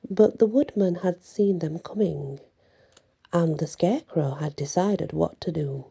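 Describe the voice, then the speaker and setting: A woman reading a story aloud in an ASMR bedtime style, with halting, deliberate phrasing. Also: sits low, creaky, mellow, slightly rough.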